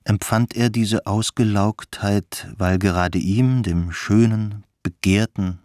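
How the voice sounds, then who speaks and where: Low-voiced male radio play narrator. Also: slow, a little mellow, dry recording. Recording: studio narration with faint music.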